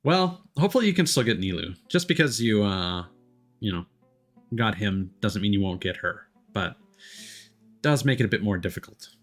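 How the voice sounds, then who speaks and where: A man with a fairly low voice hosts a casual game stream. He talks hesitantly and digressively to viewers, with faint plucked guitar music behind him. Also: expressive, normal speed. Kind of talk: casual live-stream chat.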